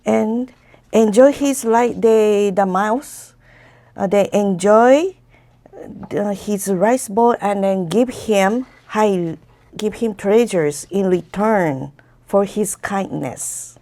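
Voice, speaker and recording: A woman with a Japanese accent, a native Japanese speaker, retelling a folktale haltingly as she searches for her words. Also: medium pitch, dark tone. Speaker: guest storyteller.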